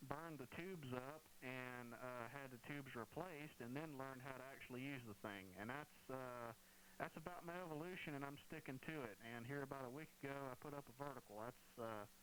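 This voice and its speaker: A man's voice: mid-range voice, fairly bright tone. A man chatting casually over ham radio, explaining things in a matter-of-fact, somewhat hesitant way. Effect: heard over shortwave ham radio. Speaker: amateur radio operator. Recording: hissy, noisy ham radio transmission.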